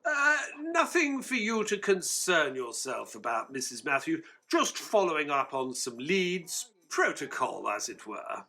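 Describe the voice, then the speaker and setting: Courteous period doctor, detective's sidekick, slightly high voice, lively intonation, mild, audio drama storyteller. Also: male voice, a little slow, gravelly, few pauses, exaggerated voice. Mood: tentative. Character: affable, polite Victorian doctor, detective's companion, narrating. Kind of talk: audio drama narration and dialogue.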